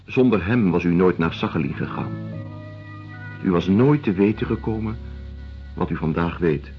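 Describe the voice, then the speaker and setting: A man's voice: low-pitched, lively intonation, moderate pace. An actor playing an earnest, consoling man with a solemn, philosophical and reassuring manner in a radio drama. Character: earnest, consoling man of quiet hope and conviction. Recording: dull radio recording with music underneath.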